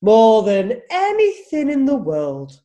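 A man's voice: very high voice, huge pitch swings, slow pace, dark tone, muffled recording, exaggerated voice. A British man playing an exasperated, defensive masked superhero in a comic radio play, protesting melodramatically. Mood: pleading.

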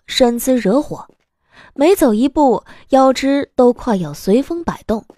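A woman narrating a scripted audio drama in an expressive storytelling manner. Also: on the high side, no room sound, studio quality. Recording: music bed behind the voice.

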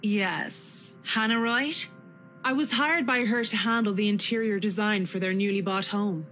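Casting: woman playing a polite, professional female designer in a game, bright tone. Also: mid-range voice, a little slow, faint music behind, lightly acted. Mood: calm. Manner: polite, businesslike. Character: poised adult female interior designer, professional. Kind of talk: video game voice acting.

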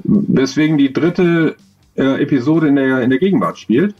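A man in his forties, an audio drama author, talking thoughtfully in an interview over a video call, with many pauses and fillers. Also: mid-range voice, husky, muffled recording, faint music behind. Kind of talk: relaxed conversational interview.

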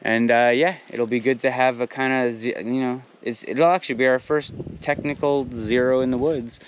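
A man vlogging casually on a hiking trip, chatting in a relaxed way with plenty of fillers, recorded outdoors at a campsite. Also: medium pitch, normal speed, dull audio, slight background noise. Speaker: vlogger, thru-hiker. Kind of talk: casual vlog chat. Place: outdoors at a mountain tent site.

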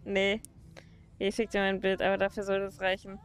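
A woman's voice: medium pitch, level tone, normal speed, faint music behind. A young woman streaming a game, chatting casually with viewers and laughing often. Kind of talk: casual let's play chat.

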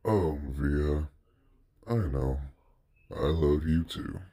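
A man voicing a gruff but affectionate hellhound in an amateur comic dub, with a raspy, mellow voice. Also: very deep voice, lively intonation, drawn-out words, exaggerated voice. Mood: tender, relaxed.

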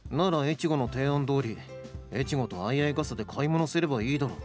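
An actor playing a cool, caring young knight and older brother in an anime game radio drama, dry and exasperated. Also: male voice, mid-range voice, expressive, a little fast, faint music behind, close to an everyday voice. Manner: matter-of-fact, dry.

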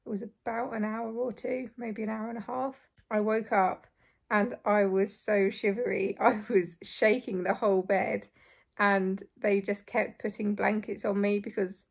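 A young woman in her twenties, hoarse and croaky after surgery, chatting casually to camera from a small bedroom. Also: medium pitch, a little mellow. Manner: chatty, relieved, frequent light laughs. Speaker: vlogger. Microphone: phone or camera close in front.

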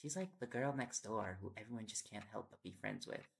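Man acting a gravelly, affectionate young boyfriend. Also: on the low side, a little fast. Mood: chatty, fond. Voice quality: gravelly, creaky. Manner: playful coaxing, chatty. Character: affectionate, teasing young male reporter boyfriend. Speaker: audio roleplay voice actor. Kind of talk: intimate boyfriend audio roleplay, sleep aid. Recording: loud background noise with clicks. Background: ticking and clicking sounds.

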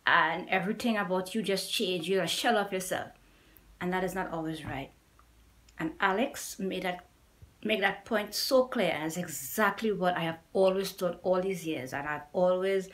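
A middle-aged woman from Trinidad and Tobago with a Trinidadian accent, sharing a personal story to camera at home in an earnest, pleading way and repeating points for emphasis. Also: on the low side. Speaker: YouTuber. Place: small indoor room at home.

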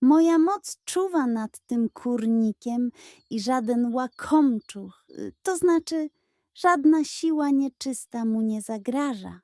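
A woman's voice: a little high, expressive, slow pace, dark tone, no echo, clean studio sound. A woman voicing a sly, greedy male pug in a children's audio tale, talking in a grandiose, mock-solemn, scheming way. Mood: reassuring.

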